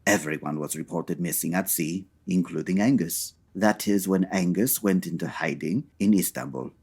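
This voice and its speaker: Low, husky French bodyguard. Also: male voice, a little mellow, full character voice. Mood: formal, explanatory. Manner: formal, measured. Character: formal, guarded Frenchman working as a bodyguard.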